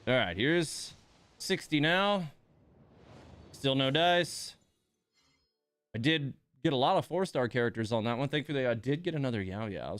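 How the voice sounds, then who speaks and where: A man's voice: mid-range voice, clear, resonant tone. A man hosting a game livestream, chatting casually with wry, mildly grumbling informality. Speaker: game streamer, host. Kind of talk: casual livestream commentary while playing.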